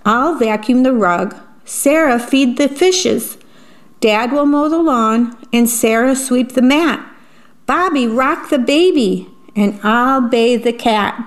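A bustling, bossy picture-book parent handing out jobs, as a woman reads aloud. The voice sits higher than her own and rings out a little louder. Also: slow.

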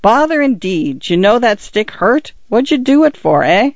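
Guy doing a bullying village cop, pitched up high, dry dull lo-fi sound. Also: very animated, normal speed, dry recording. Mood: accusing. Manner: dropped aitches, bullying, scornful. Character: gruff male village constable, blustering and suspicious.

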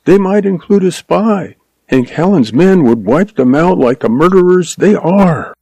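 Earnest, frightened young teacher voiced by a man, high and quivering, dark gravelly undertone. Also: expressive, a little slow, no room sound, full character voice. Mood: pleading. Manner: pleading, quivering.

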